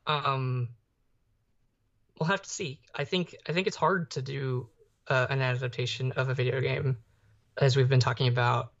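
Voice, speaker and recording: Slightly dull recording, young woman, casual podcast co-host, hesitant, halting phrasing. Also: a bass voice, steady tone. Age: young adult. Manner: hesitant, halting, repeats words. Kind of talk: casual pop culture chat. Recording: slightly dull, muffled microphone sound.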